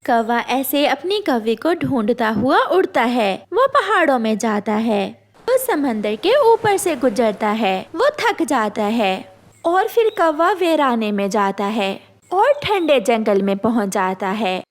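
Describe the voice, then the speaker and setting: A woman's voice: fairly high pitch, big pitch swings, a little slow. A woman narrating a scripted children's cartoon tale as its storyteller.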